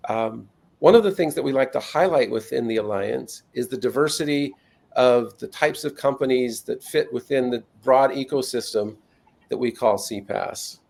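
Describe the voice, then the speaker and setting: Gravelly-voiced male interview host. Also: mid-range voice, moderate pace, a little mellow. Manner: friendly, loose, with many fillers. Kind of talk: casual interview hosting.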